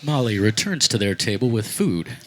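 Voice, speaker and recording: A man's voice: lowish voice, lively intonation, moderate pace, fairly bright tone, soft background music. A man reading scripted narration for a radio play.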